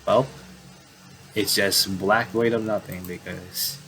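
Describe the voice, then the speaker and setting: A man doing casual game commentary and reading story text aloud, haltingly and with repeated words. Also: deep voice, steady tone, fairly bright tone. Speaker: gaming video commentator. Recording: clearly noisy home recording.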